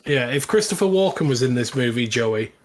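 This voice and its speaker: Animated male podcast co-host. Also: mid-range voice, fairly quick. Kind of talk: casual chat.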